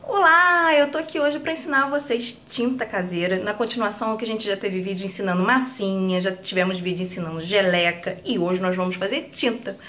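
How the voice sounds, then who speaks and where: A woman's voice: mid-range voice, brisk, few pauses, sharp tone. A young woman from Brazil hosting a home craft vlog, chatting and encouraging parents in a casual tutorial style. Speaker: craft channel host. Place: home kitchen, indoors. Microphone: camera at close range.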